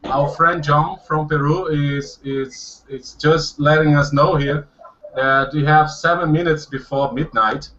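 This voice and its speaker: A Brazilian man runs a casual group video call with an upbeat, chatty energy. His voice is bright and sits a little high, and the audio comes through dull and lo-fi. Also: fairly even intonation, measured pace. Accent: Brazilian Portuguese. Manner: upbeat, switches between Portuguese and English, laughs often. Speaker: host of a language-practice video call.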